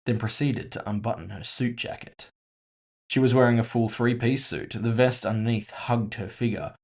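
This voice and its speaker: Low-pitched man narrating audio fiction. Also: vocal fry. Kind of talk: scripted first-person audio fiction narration.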